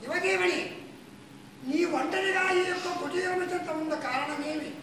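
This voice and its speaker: A man's voice: normal speed, bright, forceful tone, exaggerated voice. A man voicing an arrogant, threatening demon king in a traditional puppet play, declaiming theatrically; recorded live, echoey and distant with noise. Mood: boastful. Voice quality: declaiming, booming theatrical delivery. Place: temple grounds, live puppet show.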